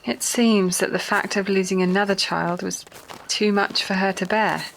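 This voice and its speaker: A woman playing an earnest, cautious and gently sympathetic Victorian nurse in an audio drama. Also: mid-range voice, steady tone, moderate pace, creaky, a little hiss or hum, close to an everyday voice, low-quality recording. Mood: sympathetic, concerned.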